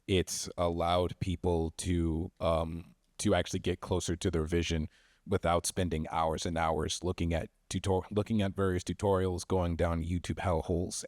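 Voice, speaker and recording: Low, flat-voiced man asking a question. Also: no room sound, clean studio sound. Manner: loud, rambling, hesitant with ums. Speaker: audience member asking a question. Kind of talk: audience question at a panel.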